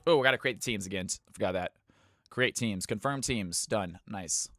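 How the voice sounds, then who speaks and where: A man hosting viewer games on a live stream, chatting casually and thanking viewers, with sudden loud hype-announcer bursts. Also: lowish voice, moderate pace, a little hiss or hum. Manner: hype announcer bursts between easygoing chat.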